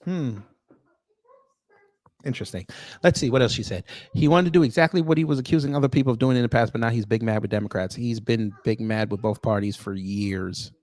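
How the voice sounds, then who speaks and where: A man with an American accent hosting off-the-cuff online commentary in a sarcastic, confrontational manner with pointed pauses. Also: medium pitch, a little mellow, dry recording. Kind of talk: off-the-cuff opinion commentary, reading posts aloud.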